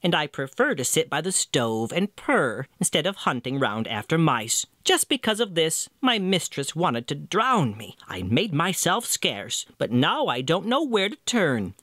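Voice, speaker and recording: A man's voice: on the high side, big pitch swings, measured pace, few pauses, pushed and bright, dry recording, studio quality, exaggerated voice. A man voicing an aging cat in a storybook, world-weary and full of self-pitying complaint. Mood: gloomy complaint.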